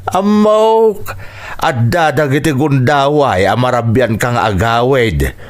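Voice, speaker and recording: An actor with an Ilocano accent playing a troubled man of a family in an earnest, emotive radio drama style. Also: mid-range voice. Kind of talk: Filipino radio drama acting.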